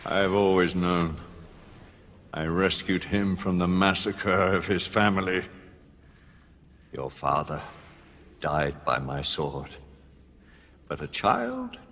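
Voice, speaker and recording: A man's voice: low voice, sing-song, slow pace, long pauses, fairly bright tone, exaggerated voice. An actor playing a grave, tormented aging Lord Chancellor and father in a video game drama, speaking solemnly and formally. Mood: anguished, sorrowful. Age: older. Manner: solemn, formal, weighty delivery. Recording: long hall reverb, clear background noise.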